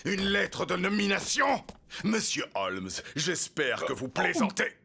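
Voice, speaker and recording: A man's voice: high-pitched, wildly swooping pitch, unhurried, gravelly, sharp tone, husky, heavily acted. An actor playing a scornful, sardonic male executive with a dry, threatening manner in a French-dubbed cartoon.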